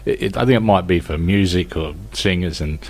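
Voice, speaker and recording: A man's voice: low voice, brisk. A middle-aged Australian man hosting a casual interview, rambling conversationally through his questions and recollections. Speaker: show host, comedian.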